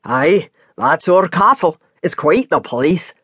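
A reader voicing an older male Scottish taxi driver who talks slowly in a kindly, chatty way. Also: high voice, sing-song, gravelly, breathy edge, dry recording, clean studio sound, full character voice. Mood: friendly. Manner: slow, warm, folksy.

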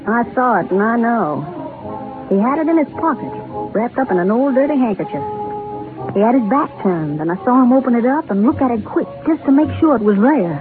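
A wistful old Southern carnival boss played by an actress, spinning her yarn in a folksy, rambling way. Her voice sits fairly high over prominent music on a tinny old radio broadcast. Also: expressive, a little fast, lightly acted. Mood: confiding. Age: older. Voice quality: folksy, rural speech. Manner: rambling, confiding storyteller. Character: folksy aging Southern carnival show owner, wistful, garrulous woman. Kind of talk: 1930s radio drama narration.

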